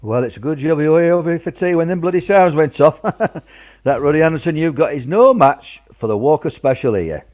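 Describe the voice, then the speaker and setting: Lively, blunt old wartime grandfather played by a man. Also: on the high side, fairly quick, natural voice. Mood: defiant, jovial. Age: older. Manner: blunt, folksy, reassuring. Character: gruff, reassuring grandfather, wartime veteran, family patriarch. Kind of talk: amateur radio drama acting.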